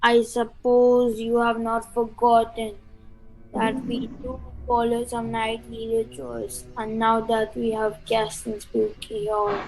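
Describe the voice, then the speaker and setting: A woman acting a solemn, deferential servant in a radio play, formal, measured and ominous. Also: a little high, darkish tone, poor audio.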